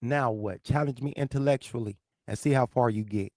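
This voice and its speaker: A man's voice: medium pitch, a little fast, darkish tone, no room sound, clean studio sound. A man from St. Louis with a lisp and a local accent, hosting a livestream and arguing with commenters in the chat in a profane, confrontational way. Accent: St. Louis, American South Midwest. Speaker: livestream host. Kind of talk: heated livestream rant, answering chat.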